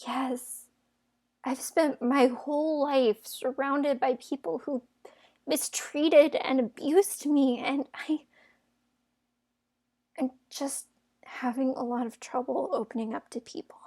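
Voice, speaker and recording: A woman acts out a high-pitched young heroine of a fairytale audio drama. She is feisty and guarded, with a wounded sincerity under it. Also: steady tone, slow pace, pauses often, a little mellow. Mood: apologetic, vulnerable.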